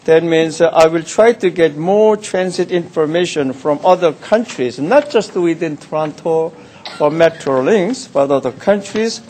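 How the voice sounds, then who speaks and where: Older man, councillor, halting with many pauses, slightly high voice, faint room hum. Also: darkish tone. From South Korea. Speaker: city councillor. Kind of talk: council nomination speech. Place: council chamber.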